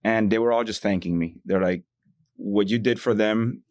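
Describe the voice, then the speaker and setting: A man's voice: lowish voice, moderate pace, a little mellow, dry recording, clean studio sound. A man from Miami, a bank employee, telling stories in a podcast interview, full of "you know" fillers.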